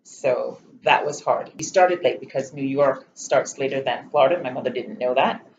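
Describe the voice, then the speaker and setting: A woman's voice: a bass voice, darkish tone. Reflective Jamaican woman, muffled recording. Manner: reflective, measured, candid. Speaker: interviewee. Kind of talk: personal storytelling interview. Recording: slightly muffled, faint room noise.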